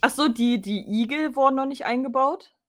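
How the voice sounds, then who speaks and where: A woman's voice: sits high, normal speed. A woman co-hosting a podcast, musing aloud in casual, unscripted conversation. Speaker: podcast co-host. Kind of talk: casual chat about audio drama episodes.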